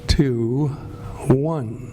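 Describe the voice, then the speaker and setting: A man's voice: medium pitch, extremely slow. A man working as an old-time radio announcer, reading scripted introductions and ads in a declamatory salesman's style.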